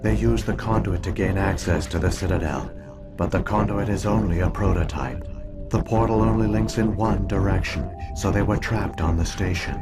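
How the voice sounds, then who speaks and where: An actor voicing an ancient alien artificial intelligence in a video game, speaking in a formal, measured, detached way. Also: male voice, deep voice, over loud music, natural voice. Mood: solemn, instructive. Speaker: exposition-giving game character. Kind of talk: scripted video game dialogue.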